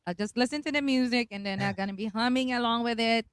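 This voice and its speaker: A woman's voice: a little high, clear, resonant tone, dry recording, studio quality. A woman host, chatty and encouraging in a casual conversation. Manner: chatty, encouraging, quick to laugh along. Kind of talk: casual chat.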